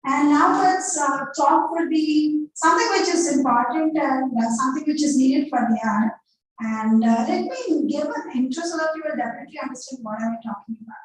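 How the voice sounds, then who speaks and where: A woman hosting an event, speaking loudly and chattily to an audience. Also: high-pitched, expressive, normal speed. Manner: loud, chatty, joking aside. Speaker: event host. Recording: echoey hall, from far off.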